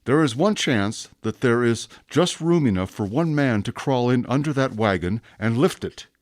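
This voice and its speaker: An actor playing a stern, relentless police inspector in an audio drama, cold and commanding. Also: male voice, mid-range voice, expressive, moderate pace, no room sound, studio quality, close to an everyday voice. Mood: urgent. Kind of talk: radio drama acting.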